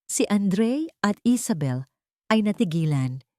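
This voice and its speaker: A woman reading a scripted dramatic love story as its narrator, in a measured, theatrical delivery. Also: mid-range voice, sing-song, mellow, dry recording, clean studio sound.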